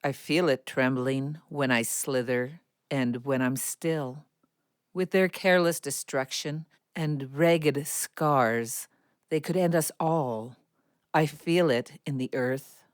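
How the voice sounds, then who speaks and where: A woman playing a wary, brooding snake in an audio drama, speaking in a deliberate, ominous manner. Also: extremely low pitch, dry recording, studio quality.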